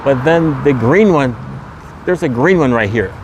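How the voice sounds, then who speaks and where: A man's voice: mid-range voice, expressive, a little slow. A man narrating a walking vlog outdoors into a handheld camera, repeating promotional catchphrases as the host. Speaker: vlogger and host. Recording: loud outdoor street traffic noise. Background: traffic and vehicle noise.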